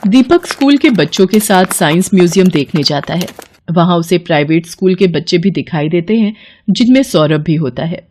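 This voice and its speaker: A woman's voice: lowish voice, animated, normal speed, darkish tone. A woman storyteller reading scripted narration for a children's moral story.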